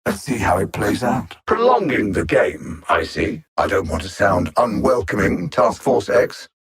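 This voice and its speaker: An actor playing an arrogant, coldly condescending alien machine-minded conqueror in a video game, speaking in a formal, precise, theatrical manner with a husky voice. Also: male voice, lowish voice, very animated, measured pace, heavily acted. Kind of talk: video game villain dialogue.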